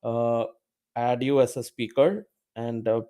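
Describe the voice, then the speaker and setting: A man's voice: medium pitch, steady tone, takes it slowly, mellow, clear, resonant tone. A man hosting an online developers meetup, inviting people up to speak, with many hesitations and fillers. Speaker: meetup host.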